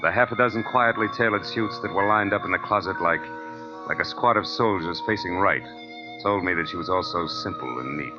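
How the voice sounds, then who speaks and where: Hardboiled male private eye played by an actor, American, lowish voice, wry and world-weary, muffled vintage radio with music. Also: brisk, lightly acted. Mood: observant. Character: cool, hardboiled male private detective narrating his case. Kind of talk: 1940s radio noir acting and narration.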